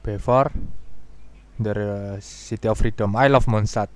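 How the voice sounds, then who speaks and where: Very low-voiced Indonesian woman streamer. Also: fairly even intonation, unhurried, soft background music. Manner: blunt, slangy interjections. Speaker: game streamer. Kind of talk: casual live reaction commentary.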